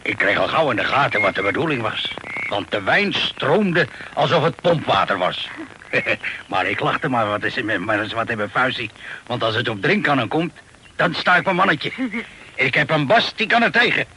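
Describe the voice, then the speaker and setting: An actor playing a bluff, hearty Dutch sea captain in a radio play, with a jovial, salty, colloquial way of talking. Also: male voice, mid-range voice, expressive, moderate pace, close to an everyday voice. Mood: jovial storytelling. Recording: noisy old radio play recording.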